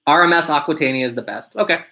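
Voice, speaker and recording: A young man with a deep voice hosting a casual live stream, reading viewer questions aloud and answering them one by one. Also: fast, gravelly, sharp tone.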